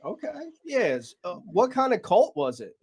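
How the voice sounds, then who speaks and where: Man hosting a podcast, easygoing, slightly high, lively pitch. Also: measured pace, husky. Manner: laid-back, joking questions. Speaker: podcast host. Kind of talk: casual podcast interview.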